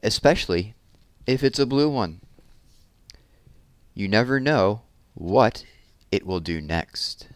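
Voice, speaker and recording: A man's voice: fairly low pitch, expressive, slow pace, long pauses, dry recording. A man in his thirties reading children's picture books aloud expressively, with casual asides, on a headset mic indoors. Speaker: read-aloud storyteller. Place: small room at home, indoors.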